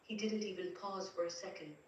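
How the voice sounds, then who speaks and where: A woman's voice: medium pitch, steady tone, fairly quick, lo-fi sound, natural voice. A woman as a haunted, echoey, grieving wife. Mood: frightened. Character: terrified, grieving young wife recounting abuse from beyond death. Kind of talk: first-person dramatic story narration. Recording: distant, very echoey, faint noise.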